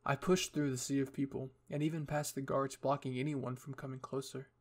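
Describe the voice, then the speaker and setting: A man's voice: mid-range voice, flat delivery, brisk, darkish tone. A man reading a scripted story in the first person as its narrator. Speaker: fan fiction reader.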